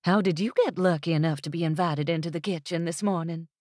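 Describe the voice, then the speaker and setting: A woman voicing a polite young English gentleman working as a ranch hand, with formal, courteous speech. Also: on the low side, quick pace, dry recording, studio quality, close to an everyday voice.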